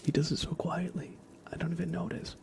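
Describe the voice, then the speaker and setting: A man chatting softly as an ASMR livestream host, in a gentle hushed voice that often drops into whispers. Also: fairly high pitch, level tone, a little fast, gravelly. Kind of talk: soft-spoken ASMR livestream chat.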